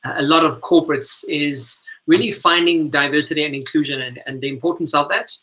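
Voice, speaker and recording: A man hosting a radio interview, speaking in a halting, hesitant way over a dull, lo-fi recording. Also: a little high, steady tone, sharp tone. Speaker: radio show host and leadership coach.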